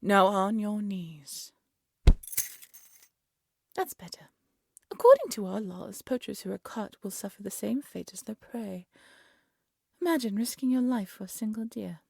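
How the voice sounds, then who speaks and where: A woman playing a haughty, cruel evil queen in a fairy-tale audio drama, speaking in an imperious, condescending way with a breathy voice. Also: medium pitch, expressive, a little slow, long pauses, a little mellow, no room sound, studio quality, full character voice. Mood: commanding, haughty. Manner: imperious, scolding, condescending, theatrical.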